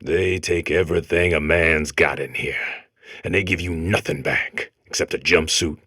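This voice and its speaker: A man's voice: rumbling low, steady tone, normal speed, sharp tone, husky, no room sound, clean studio sound, heavily acted. An American man voicing a comic game robot that talks like a grizzled old convict, lecturing in folksy, tough prison slang. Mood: world-weary, lecturing. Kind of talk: comic game character monologue.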